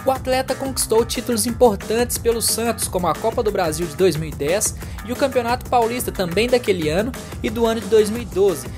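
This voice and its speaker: A woman reading scripted TV narration in an even, announcer-like delivery. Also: on the high side, fairly quick, few pauses, over music. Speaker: voice-over narrator.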